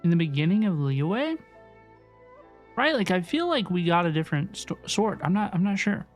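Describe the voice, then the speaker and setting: A woman's voice: on the low side, sing-song, normal speed. A woman giving casual, chatty playthrough commentary as a livestreamer, often repeating phrases for emphasis. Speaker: video game livestreamer. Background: soft game music.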